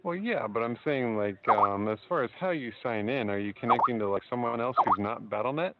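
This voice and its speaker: Male support agent, average pitch, calm and methodical, slightly hesitant, muffled phone-call sound. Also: a little fast, very noisy. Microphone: telephone line.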